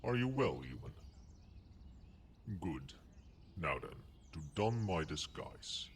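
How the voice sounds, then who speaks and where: A man voicing a proud, possessive dragon with formal, haughty, measured speech in an ASMR roleplay. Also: low-pitched, very animated, a little hiss or hum, exaggerated voice. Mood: softened, proud. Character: proud, imperious male dragon, possessive but grudgingly protective.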